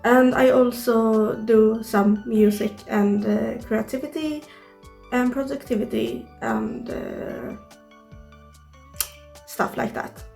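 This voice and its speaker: A woman's voice: on the high side, lively intonation, slow pace, gravelly, darkish tone, soft background music. A young woman from Sweden with a Swedish accent, talking casually to camera in a hesitant way with many fillers, recorded on a device mic with no external microphone. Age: young adult. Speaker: YouTuber. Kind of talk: casual vlog talk to camera.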